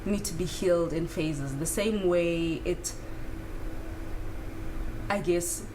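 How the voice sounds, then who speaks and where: A woman's voice: on the low side, slow pace. A woman around thirty from South Africa, talking through spiritual ideas in a candid, casual monologue with frequent fillers and the odd swear word. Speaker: spiritual vlogger. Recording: clear background noise.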